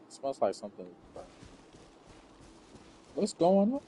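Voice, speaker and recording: Bright-less mellow male gamer, lively pitch. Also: slow, a little hiss or hum. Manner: casual swearing, incredulous. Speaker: game streamer. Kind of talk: casual gameplay reaction commentary.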